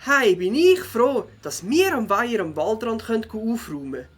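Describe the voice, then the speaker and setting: Eager school child, very animated intonation, friendly, enthusiastic, Swiss German accent, children's audio drama. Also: female voice, fairly high pitch, normal speed, breathy edge. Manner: enthusiastic, chummy. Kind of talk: children's radio play acting.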